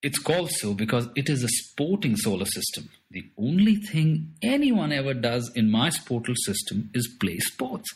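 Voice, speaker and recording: A man's voice: medium pitch, very animated, normal speed, hardly any pauses, pitched above the performer's own voice. A man voicing a boastful little alien trickster who is playful, bossy and fond of made-up words, in a storybook. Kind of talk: storybook character voice.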